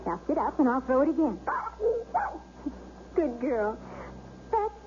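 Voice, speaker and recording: A caring, gently fussing housewife in a vintage radio play. Her voice sits high and comes through a thin, phone-like broadcast with a faint hiss behind it. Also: very animated, unhurried. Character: caring young housewife, fond of dogs. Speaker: wife. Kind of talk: 1970s radio drama acting.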